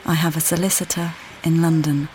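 An actress playing a weary, displaced mother who calmly guides the listener in a walking play, speaking plainly and directly to them. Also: low-pitched, a little fast, a little hiss or hum, close to an everyday voice, poor audio. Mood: reflective. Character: displaced mother, weary and uncertain, far from home. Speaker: audio guide narrator. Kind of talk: immersive walking-play narration.

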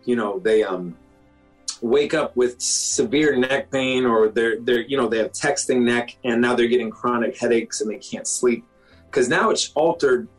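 A man's voice: medium pitch, unhurried, faint music behind, poor audio. Chatty man in his forties, doctor. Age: 40s. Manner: conversational, says "okay?" and "you know?" often, clears throat. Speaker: doctor, guest. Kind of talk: casual interview talk.

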